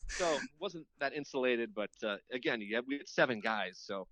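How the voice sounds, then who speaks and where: Casual male guest, medium pitch, dry sound. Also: animated, brisk, a bit bright, dry recording, rough recording. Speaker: podcast guest, radio host. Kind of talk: casual reminiscing chat.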